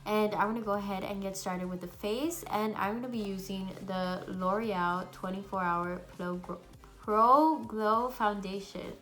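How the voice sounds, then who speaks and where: A young woman with a raspy, congested voice from a cold, chatting bubbly through a makeup tutorial at home. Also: medium pitch, steady tone, a little slow, a bit bright. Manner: chatty and bubbly, many fillers. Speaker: beauty vlogger. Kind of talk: casual makeup tutorial chat.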